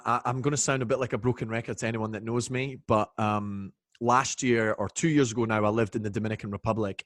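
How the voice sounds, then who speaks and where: A man's voice: mid-range voice, no room sound, clean studio sound. A Scottish man, a guest on a video call, talking about whiskey in an easygoing, chatty way with plenty of fillers. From Scotland. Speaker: whiskey brand ambassador, guest. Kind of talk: casual interview.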